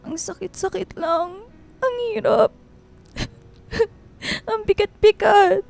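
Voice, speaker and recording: A woman's voice: very high-pitched, slow, creaky, darkish tone, full character voice. A woman playing a young, vulnerable woman confiding in her friend in a Filipino radio drama. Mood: tearful, hurt.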